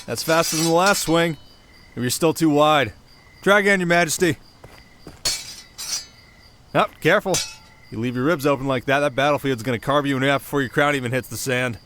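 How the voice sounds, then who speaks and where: A man's voice: on the high side, moderate pace, long pauses, noisy background, natural voice. A man playing a brash, teasing sparring trainer in an audio roleplay drama, boisterous and goading. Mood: taunting.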